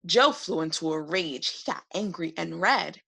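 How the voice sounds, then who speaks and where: A woman reading a children's picture book aloud for preschoolers in an expressive narrating style. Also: fairly low pitch, moderate pace, gravelly, breathy edge. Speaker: read-aloud host.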